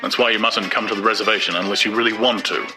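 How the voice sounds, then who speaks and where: A man's voice: on the low side, steady tone, fast talker, music in the background, natural voice. A male narrator voicing a weary, impatient young man who speaks in curt lines.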